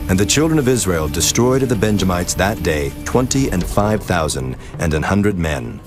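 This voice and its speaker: Man, low-pitched, solemn scripture narration, measured formal delivery, prominent music bed. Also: expressive. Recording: music laid under the voice.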